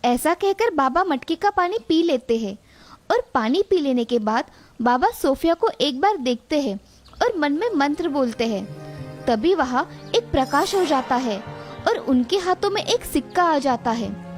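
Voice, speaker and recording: A woman's voice: sits high, sing-song, unhurried, faint music behind. A woman narrator reading a scripted moral story for children.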